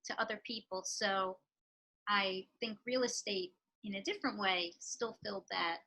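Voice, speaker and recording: Interview guest: woman with a New York accent, bright and forceful tone, reflective and earnest. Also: medium pitch, normal speed, poor audio. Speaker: real estate professional. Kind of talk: interview answers.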